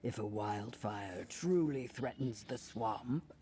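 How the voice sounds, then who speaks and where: Grave, formal reptilian warrior envoy. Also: male voice, fairly low pitch, lively intonation, slow pace, mellow. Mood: grave, resolute. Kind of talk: fantasy video game dialogue.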